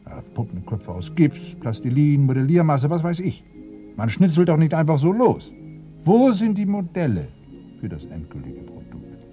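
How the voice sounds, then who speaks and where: Mellow, animated suspicious detective. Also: male voice, fairly high pitch, normal speed, faint music behind. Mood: probing. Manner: probing, rattling off questions and lists. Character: insistent, suspicious detective thinking aloud. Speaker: actor in radio crime drama. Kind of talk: 1990s German radio play acting.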